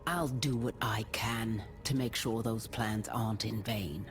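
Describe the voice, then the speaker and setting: A woman's voice: very deep voice, steady tone, a little slow, music in the background. An actress playing a resolute, brave adventurer woman with a dry edge in a fantasy game. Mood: sincere. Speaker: player character. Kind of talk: scripted game voice acting.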